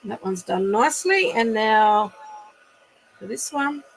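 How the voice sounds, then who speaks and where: A woman with a croaky voice, chatting casually and apologetically on a home live stream while fixing her phone camera. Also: fairly high pitch, lively intonation, takes it slowly, a little hiss or hum, low-quality recording. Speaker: live stream craft demonstrator. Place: room at home.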